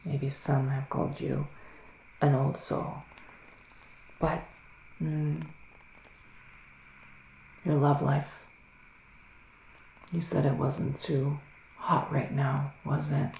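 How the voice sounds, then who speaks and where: A man playing a gentle psychic witch in an ASMR roleplay, speaking in a soft, musing whisper with long pauses. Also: a little high, level tone, gravelly, roomy sound, slight background noise, heavily acted, poor audio. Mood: hushed, musing. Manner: slow, hushed, musing, with drawn-out pauses. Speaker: ASMR performer giving a psychic reading.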